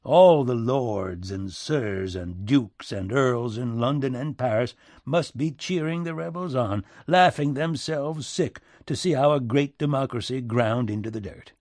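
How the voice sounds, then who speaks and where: A man's voice: mid-range voice, measured pace, few pauses, a little mellow, no room sound, clean studio sound, voice pushed up, close to an everyday voice. A man voicing a weary, bitter American president with a folksy back-country accent and an emphatic, sardonic manner in an audiobook. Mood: bitter, contemptuous.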